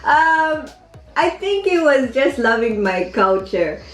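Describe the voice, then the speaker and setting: A woman's voice: sits high, big pitch swings, slow. A Zambian woman from Zambia talking about her culture as a guest in a casual chat. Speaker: family video creator, radio guest. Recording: echoey room with faint music.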